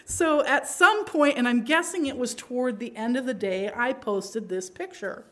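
A woman's voice: on the high side, normal speed. A middle-aged woman who grew up in Pakistan gives a sermon in a conversational style and often checks in with the listeners. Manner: conversational, rhetorical tags. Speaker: preacher.

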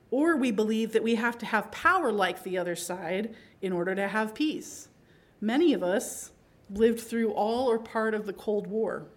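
A middle-aged woman who grew up in Pakistan gives a sermon in a conversational style and often checks in with the listeners. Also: fairly high pitch. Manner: conversational, rhetorical tags. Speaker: preacher.

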